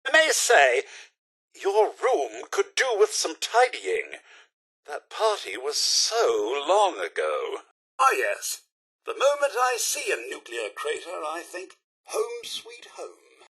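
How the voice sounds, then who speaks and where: Fussy robot butler, British accent, bright forceful tone, raspy edge, plummy and over-polite, video game character. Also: male voice, slow pace, exaggerated voice. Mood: polite, wistful. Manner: plummy, over-polite, exclamatory, highly animated. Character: chipper, prim, fussy male robot butler with weary politeness.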